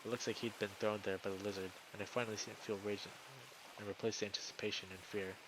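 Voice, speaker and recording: A man's voice: on the low side, level tone, quick pace, noisy background. A man reading a visual novel aloud as a streamer, in dramatic first-person narration.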